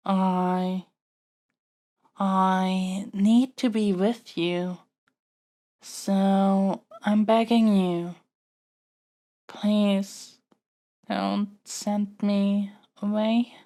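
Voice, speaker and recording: A woman's voice: medium pitch, level tone, mellow. A woman playing an earnest, flustered young princess in an intimate audio roleplay, stammering and hesitating as she pleads. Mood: pleading. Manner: halting, hesitant, stammering delivery. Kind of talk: audio roleplay acting, intimate monologue to the listener.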